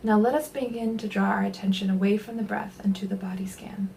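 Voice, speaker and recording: Soft, mellow woman guiding meditation. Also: medium pitch, fairly even intonation, vocal fry, a little hiss or hum, rough recording. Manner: gentle, soothing, unhurried instruction with pauses. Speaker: meditation guide. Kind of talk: guided body scan meditation.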